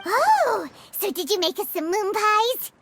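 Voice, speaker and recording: Squeaky, chirpy fairy companion. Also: female voice, a little slow, exaggerated voice. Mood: eager. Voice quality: squeaky, childlike. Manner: excitable, exclamatory. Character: tiny chirpy childlike fairy companion, excitable and nosy. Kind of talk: video game cutscene acting. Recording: background music behind dialogue. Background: game music.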